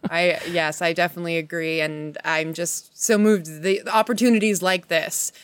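A woman's voice: on the low side, fairly even intonation, normal speed, clear, resonant tone, no echo, studio quality. A woman guest on a podcast, talking reflectively and earnestly in long, searching, wordy sentences. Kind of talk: casual, reflective podcast conversation.

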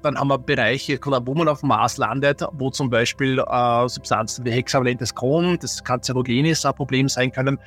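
A male expert explains technical details in plain interview talk, stopping now and then to find the next word. His voice is mid-pitched, with faint music underneath. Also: fairly even intonation, normal speed, few pauses, fairly bright tone. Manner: technical, searching for words.

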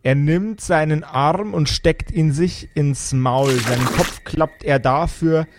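A man narrating an improvised tabletop game as game master, in measured, suspenseful phrases. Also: on the high side. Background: background music.

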